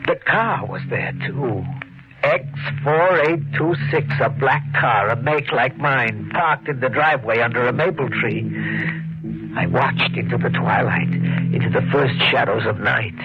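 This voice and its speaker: An actor playing a haunted, guilt-ridden husband in a 1940s radio mystery, brooding and dramatic, with deliberate phrasing. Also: male voice, medium pitch, over music, close to an everyday voice. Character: haunted, guilt-ridden husband narrating his murderous obsession. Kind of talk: 1940s radio mystery acting, narration and dialogue. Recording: noisy, dull 1940s radio recording.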